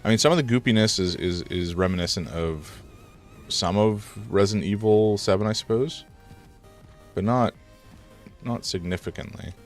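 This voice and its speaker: A man live-streaming a horror game, rambling through his commentary, chatting with viewers, and reacting loudly to scares, a little congested. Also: lowish voice, moderate pace, pauses often, soft background music. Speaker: gaming streamer.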